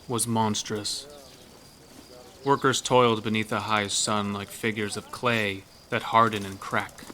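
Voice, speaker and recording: A man's voice: on the low side, pushed and bright, slight background noise. A man narrating a literary audio story from a script, as narrator.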